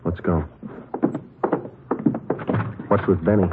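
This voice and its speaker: An actor playing a terse, businesslike police sergeant in a 1940s radio drama, speaking in a clipped, matter-of-fact way. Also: male voice, low voice, fairly even intonation, takes it slowly, background noise. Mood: probing. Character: terse, businesslike male police sergeant narrating his case. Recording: muffled vintage 1940s radio recording.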